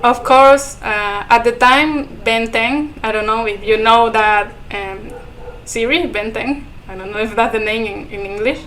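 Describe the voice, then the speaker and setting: A woman's voice: fairly high pitch, unhurried, few pauses, fairly bright tone, slight background noise, rough recording. A young woman from Ecuador whose first language is Spanish, speaking English with an Ecuadorian Spanish accent. She is a guest in a casual podcast chat and talks with frequent giggles while searching for words.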